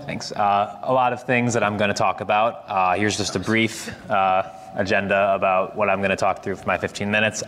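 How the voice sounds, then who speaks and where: A man with a loud voice giving a casual, energetic technical software demo at a conference. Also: low-pitched, in a large hall. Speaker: conference presenter. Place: large conference hall.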